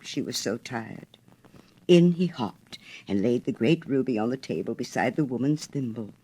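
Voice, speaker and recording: A woman narrating a storybook fairy tale in an expressive reading style. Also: a bass voice, low-quality recording.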